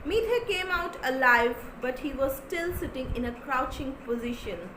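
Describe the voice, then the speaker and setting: A woman reading a folktale aloud for children with expressive, deliberate, dramatic delivery. She is from India. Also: high-pitched. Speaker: storyteller. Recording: echoey room with clear background noise.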